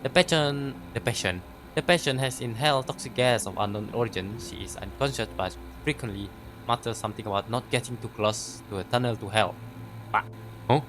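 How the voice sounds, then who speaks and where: A man's voice: fairly low pitch, background noise. A man doing casual let's play commentary, stumbling as he reads text aloud and swearing freely. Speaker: gaming video host.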